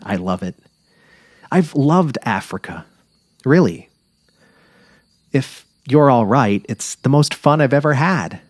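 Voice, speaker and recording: A man's voice: medium pitch, animated, takes it slowly, long pauses, dry recording, clean studio sound, pitched above the performer's own voice. A man voicing an affectionate middle-aged wife on safari, eager and tender, in a dramatic literary reading. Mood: gushing, tender. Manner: cheerful, eager, tender, imploring.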